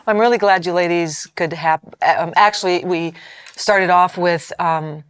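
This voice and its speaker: A woman's voice: fairly low pitch, smooth. A woman hosting a faith podcast in a chatty, joking way, introducing her guests. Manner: chatty, joking, quick to laugh. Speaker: podcast host. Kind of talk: casual hosting chat.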